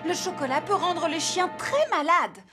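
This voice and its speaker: A woman voicing a caring, earnest animal doctor with an expressive manner in a children's cartoon dub. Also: extremely high pitch, moderate pace, music in the background. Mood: concerned. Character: caring, concerned adult female animal doctor.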